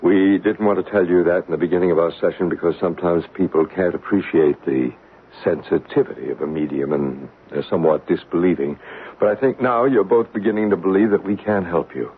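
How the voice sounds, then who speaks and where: An actor playing a male psychic who guides a séance in slow, hushed tones and narrates the story, in a 1970s radio drama. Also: deep voice. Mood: soothing. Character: calm, soothing male psychic guiding a séance. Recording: narrow, hissy old radio broadcast.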